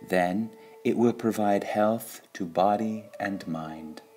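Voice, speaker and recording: A man reading devotional sayings from a script in a measured, deliberate way, pausing between short phrases. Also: lowish voice, a little mellow, slight background noise. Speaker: reader of spiritual quotations.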